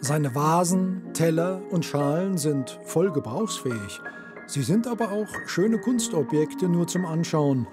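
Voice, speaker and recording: A man reading a scripted TV report narration in a smooth, measured way. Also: on the high side, expressive. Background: soft music.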